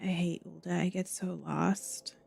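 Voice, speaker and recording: A woman's voice: on the low side, level tone, measured pace, creaky, a little mellow. A woman giving casual live game commentary, talking to herself as she thinks aloud. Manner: talks to self, thinking aloud. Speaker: game streamer. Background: music.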